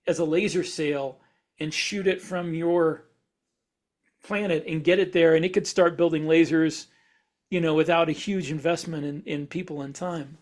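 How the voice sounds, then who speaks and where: A man co-hosting a podcast, explaining ideas in casual discussion and often restating phrases in a halting way. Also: fairly high pitch, fairly even intonation. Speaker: podcast co-host.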